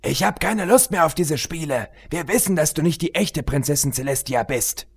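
A man's voice: fairly high pitch, animated, normal speed, vocal fry, breathy edge, pitched above the performer's own voice, exaggerated voice. A man voicing a brash, impatient young female pegasus pony in a storybook reading. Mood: annoyed.

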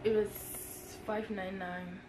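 A woman's voice: medium pitch, slow, echoey room, background noise. A young woman from South Africa with a Pretoria accent, chatting playfully in a casual vlog, mixing English and local slang and breaking into bits of song. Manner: playful and animated, bursts into snatches of song. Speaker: vlogger. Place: indoors at home, in front of a brick wall.

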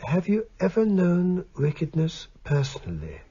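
An actor playing a calm, probing doctor and hypnotist in a radio drama, with a measured, courteous, formal manner. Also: male voice, high-pitched, breathy edge, lo-fi sound, full character voice. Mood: calm, commanding.

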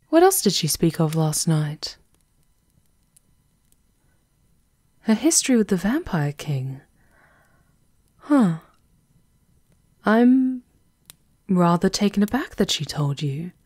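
Woman acting a low, unhurried, shrewd enchantress. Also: a little mellow, dry recording. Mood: measured, guarded. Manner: measured, knowing, formal. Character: shrewd, composed female High Enchantress advising a vampire countess. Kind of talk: ASMR roleplay acting.

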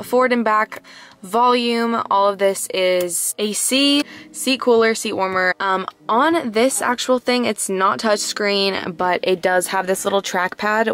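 Chatty young woman vlogging. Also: medium pitch. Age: young adult. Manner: chatty, bubbly, giggly. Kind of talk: casual car tour vlog. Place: inside a parked car. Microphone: handheld phone camera.

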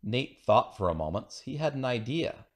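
A man reading a children's picture book aloud in an expressive storytelling manner. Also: mid-range voice, moderate pace. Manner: expressive storytelling with acted dialogue. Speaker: storybook reader.

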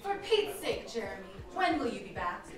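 An actress playing an anxious, indignant scientist's wife in a radio drama. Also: female voice, on the high side, big pitch swings, normal speed. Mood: upset. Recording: very echoey, distant, music behind.

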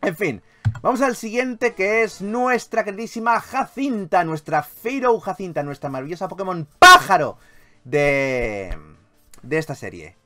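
A man with a Spanish accent from Spain hosts a gaming video in a fairly high, very animated voice. He talks casually and enthusiastically, with playful grandiosity and coarse language. Music plays behind him. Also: unhurried. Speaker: gaming YouTuber host. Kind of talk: casual game commentary.

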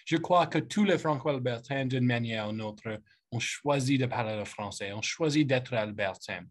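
Man, 30s, English-speaking Canadian accent, English native speaker, halting second-language delivery, formal host speech, video call from an office. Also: medium pitch. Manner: hesitant, searching for words in a second language. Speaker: politician hosting a youth panel. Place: office with lamp and bookshelf, indoors. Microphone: webcam on a video call.

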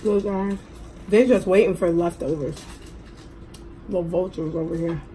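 A middle-aged woman with a hoarse voice, chatting casually to viewers as a mukbang host at a table. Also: on the low side, steady tone, normal speed. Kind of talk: casual eating vlog chat. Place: indoors at a table at home. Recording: clearly noisy room recording.

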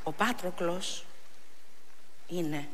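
A woman's voice: on the low side, a bit bright, lightly acted. A woman voicing a grieving young male messenger with dreadful news, in a dramatic stage recitation. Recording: echoing theatre hall with noticeable noise.

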